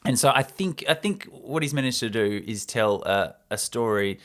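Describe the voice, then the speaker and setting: A man's voice: medium pitch, lively intonation, normal speed. A man hosting a show, retelling a film script with enthusiastic, chatty asides. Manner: enthusiastic, banters with co-host. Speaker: podcast host. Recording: loud music behind the voice.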